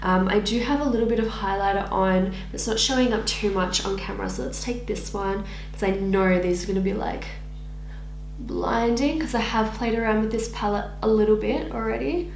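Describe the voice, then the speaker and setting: A young woman with an Australian accent and a husky, raspy voice from losing it, chatting through a casual makeup review. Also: medium pitch, moderate pace, roomy sound, slight background noise. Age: young adult. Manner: chatty, filler-heavy, animated hand gestures. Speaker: beauty vlogger. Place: indoor room against pink backdrop.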